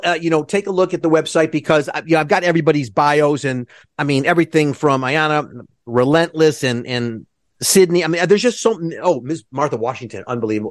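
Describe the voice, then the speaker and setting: A man hosting a theater podcast, enthusiastic and rambling as he introduces his guests. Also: a little high. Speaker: podcast host. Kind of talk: casual interview.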